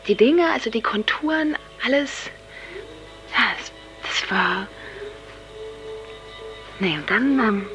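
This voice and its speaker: An actress playing an awestruck young woman in a radio drama, searching for words in halting, broken-off sentences on a lo-fi recording. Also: on the high side, animated. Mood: groping, awed. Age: young adult. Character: awestruck young woman groping for words after an LSD trip. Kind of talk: naturalistic radio drama dialogue. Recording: dull lo-fi tape, music underneath.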